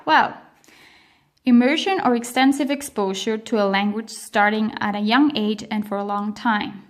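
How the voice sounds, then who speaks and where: A woman with a Swiss German accent, a native speaker of Spanish and Swiss German, explaining a language lesson in a careful, emphatic way with frequent pauses. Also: a little high. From grew up on the other side of the globe. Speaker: language educator.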